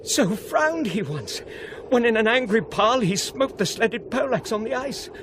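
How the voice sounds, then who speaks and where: Learned British sceptic in Shakespearean radio drama, high voice, slow measured declamation, noisy ambient recording. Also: male voice, lively intonation. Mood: shaken, grave. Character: sceptical scholarly male friend of a prince, shaken and awed.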